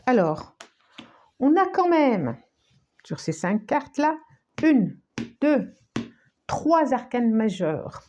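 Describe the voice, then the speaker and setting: Slow, hushed woman with a cold. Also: on the high side. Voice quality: slow, searching, many restarts and repetitions. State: has a cold, coughing. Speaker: tarot card reader. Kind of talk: unscripted tarot reading.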